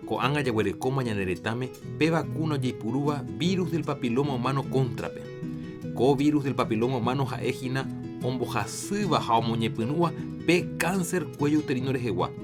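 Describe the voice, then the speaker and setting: A man's voice: mid-range voice, moderate pace. A man presenting a scripted public health segment in a radio program. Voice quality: steady, informative reading. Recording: music bed under the voice.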